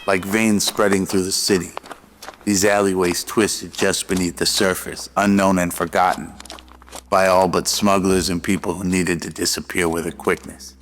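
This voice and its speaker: A man's voice: deep voice, fairly bright tone, slight background noise. A man reading scripted narration for a radio drama. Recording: long reverb over faint music.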